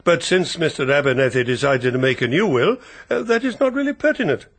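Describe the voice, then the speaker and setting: An actor playing an earnest, proper family solicitor in a radio drama, with a formal, precise, slightly hesitant lawyerly manner. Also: male voice, a little high, lively intonation, brisk, fairly bright tone. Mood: formal, businesslike.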